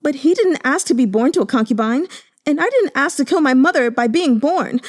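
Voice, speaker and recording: A woman's voice: high-pitched, lively intonation, a little fast, pitched above the performer's own voice. Woman voicing a sobbing pampered young man. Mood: sobbing pleading. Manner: stammering, breathless pleading.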